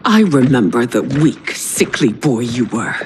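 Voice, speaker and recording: An actress playing a proud, wrathful empress in a video game, speaking in a formal, grand and imperious manner. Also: female voice, rumbling low, sing-song, a little slow, a little hiss or hum, full character voice. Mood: bitter, commanding. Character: proud, wrathful empress betrayed by her own people. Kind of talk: dramatic video game cutscene acting. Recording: loud cinematic score behind.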